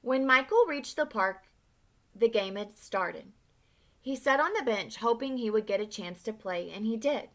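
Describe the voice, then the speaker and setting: Bright, expressive woman reading aloud. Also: fairly high pitch. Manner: expressive, pauses to ask the listener questions. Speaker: read-aloud storyteller. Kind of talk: reading a children's picture book aloud.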